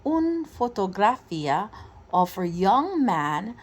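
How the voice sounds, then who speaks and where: A woman reading a children's picture book aloud in an expressive way, phrasing deliberately and acting out the dialogue. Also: medium pitch. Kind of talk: expressive storybook read-aloud.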